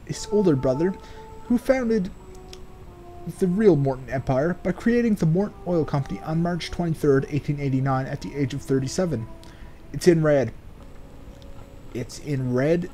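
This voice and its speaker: Mellow, slightly high-voiced sardonic man, noisy recording. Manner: sardonic, swears freely. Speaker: let's player. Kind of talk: casual game commentary mixed with reading in-game text aloud.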